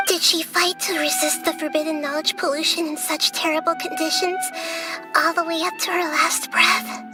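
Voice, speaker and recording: A woman voicing a small, childlike goddess in a video game, gentle, wise and solemn, with a soft girlish voice and measured, earnest delivery. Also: extremely high pitch. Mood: sorrowful, grave. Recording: loud music behind. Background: loud game music.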